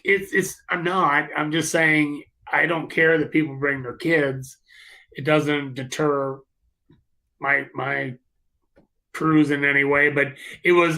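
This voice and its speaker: A man's voice: fairly high pitch, bright, forceful tone, poor audio. A man co-hosting a live show in casual chat, rambling a little and repeating words as he goes.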